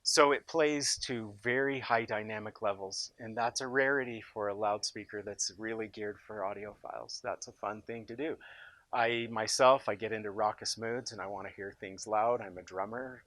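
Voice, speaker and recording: An American man presents a product in an informal, unscripted way. His voice sits on the low side, and he is enthusiastic, leaning on emphatic praise. The sound is dry, with very little room echo. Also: steady tone, moderate pace, fairly bright tone. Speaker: company founder presenting his loudspeaker in a product demo.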